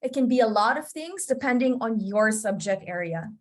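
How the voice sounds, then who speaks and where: A woman's voice: fairly high pitch, lively intonation, moderate pace. Chatty woman presenter on a video call. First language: English. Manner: chatty, often checks in with "you know?" and "okay?". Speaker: webinar presenter. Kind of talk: online teaching presentation.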